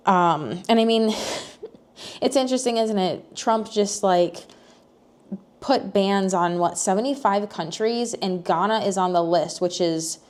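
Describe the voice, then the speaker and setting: A young American woman chats to her camera as if to a friend, mid-pitched and unforced. She rambles and restarts, piling on fillers as she works her thoughts out aloud. Her voice lifts at the ends of questions. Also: unhurried, darkish tone. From United States. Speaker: vlogger. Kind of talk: casual personal vlog.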